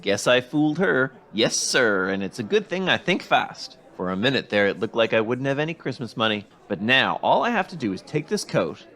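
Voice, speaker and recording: Flustered teenage boy, swooping pitch. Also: big pitch swings, moderate pace, hardly any pauses, fairly bright tone. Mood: pleased with himself. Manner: exclamatory, hesitant, gee-whiz eagerness. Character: naive, flustered teenage boy, earnest and hapless. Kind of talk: 1940s radio sitcom acting.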